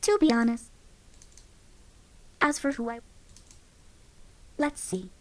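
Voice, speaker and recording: A woman voicing a calm, curious female robot companion with a clipped, even delivery. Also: fairly high pitch, takes it slowly.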